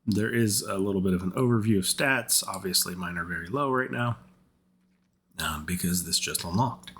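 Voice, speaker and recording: A man giving casual gaming commentary in a rambling, think-aloud way, his voice rough from repeated colds. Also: low voice, normal speed, a bit bright, slight background noise. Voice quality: husky, rough voice. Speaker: game content creator.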